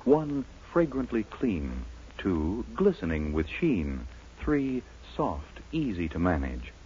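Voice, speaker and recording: Lowish-voiced, animated male radio announcer. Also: takes it slowly, a little hiss or hum. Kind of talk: 1940s radio advertising announcement. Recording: muffled old radio recording.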